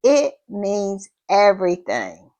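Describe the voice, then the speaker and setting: A woman hosting her own channel in casual chat, her voice froggy and hoarse, sounding tired and repeating her thank-yous. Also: medium pitch, big pitch swings. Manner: drawn-out, repetitive thank-yous and southern-style "y'all" asides. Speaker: channel host.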